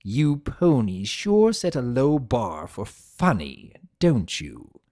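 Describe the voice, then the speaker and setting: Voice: medium pitch, wildly swooping pitch, takes it slowly, warm tone, dry recording, studio quality. A man voicing an imprisoned male spirit of chaos in a story reading, pompous, sarcastic and bitter, with theatrical, seething delivery. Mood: sardonic.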